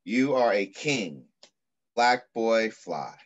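A middle-aged man reading aloud in the style of a children's picture book, with measured, deliberate phrasing. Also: on the low side, lively intonation. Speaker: pediatrician reading a story aloud. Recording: muffled video call audio.

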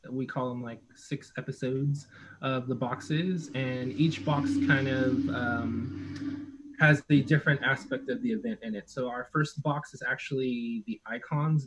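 A man talking as a guest on a video-call chat, relaxed and a bit hesitant. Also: mid-range voice, breathy edge, poor audio. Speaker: guest, merch creator. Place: home room, video call.

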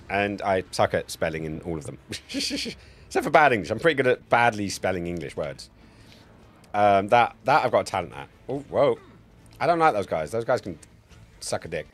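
A man in his forties chatting casually and jokily, with plenty of swearing, as he plays a game on a live stream at a close mic. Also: on the low side. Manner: sweary, jokey, rambling. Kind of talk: casual live-stream gameplay commentary and chat. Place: indoor home streaming setup. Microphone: close streaming mic on a boom arm.

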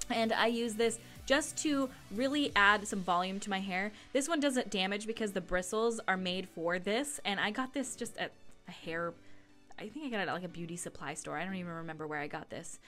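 A woman's voice: mid-range voice, fairly bright tone. A young woman, giving a casual, laid-back tutorial voice-over as a beauty vlogger. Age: young adult. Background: background music.

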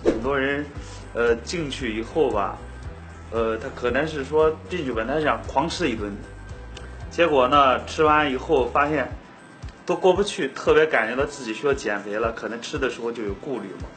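A man's voice: mid-range voice, normal speed, fairly bright tone. A young man, a restaurant owner, talking casually and with some hesitation in a seated interview inside his restaurant. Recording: interview with music underneath.

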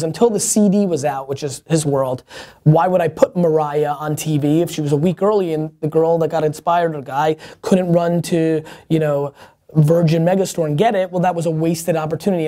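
A man's voice: high-pitched, dark tone. A man in his thirties hosting a casual advice show, answering viewer questions bluntly and emphatically from a seated spot in an open-plan office. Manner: emphatic, fast, blunt, gestures a lot.

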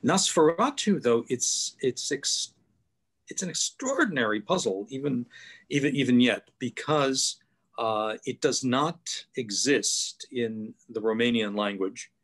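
An older man on a video call with a headset mic, explaining things thoughtfully with many pauses and fillers. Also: medium pitch, animated, a bit bright, slightly rough. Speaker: guest author. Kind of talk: online panel discussion. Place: home room, video call.

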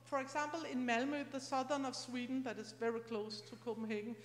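A middle-aged woman speaks in a high-pitched voice, explaining things conversationally and somewhat haltingly. She sounds like a lecturer at an academic event, on a handheld mic in a hall with long reverb. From Scandinavia. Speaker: university lecturer. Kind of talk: informal academic conference talk. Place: large lecture room, indoors. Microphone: handheld microphone.

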